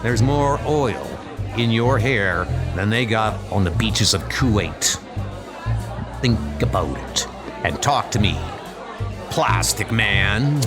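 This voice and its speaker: A man playing a gruff, terse undercover tough guy with a commanding manner in a comic dub. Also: lowish voice, animated, takes it slowly, music in the background, a crowd in the background. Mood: curt.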